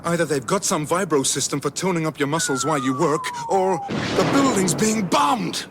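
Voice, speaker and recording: An actor playing a brash, cocky galactic fugitive with a flippant, excitable manner, in a science fiction radio comedy. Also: male voice, on the high side, moderate pace, loud music behind the voice. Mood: edgy, flippant. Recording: loud sound effects and engine noise.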